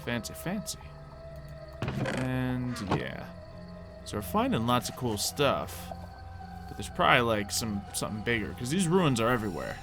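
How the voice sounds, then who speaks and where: Casual male gamer over loud game music. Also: medium pitch, sing-song, normal speed. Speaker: gamer playing a Minecraft roleplay series. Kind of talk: casual gameplay commentary.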